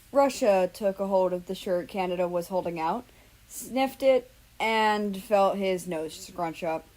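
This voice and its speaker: Woman reading out a fan story, medium pitched voice, narrator style. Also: a little mellow, low-quality recording. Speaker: fan fiction reader.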